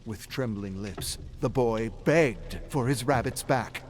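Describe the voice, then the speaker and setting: A man's voice: mid-range voice, slow pace, a little hiss or hum. A man streaming a game, reading lore passages aloud and breaking off to react casually and swear freely. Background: game music.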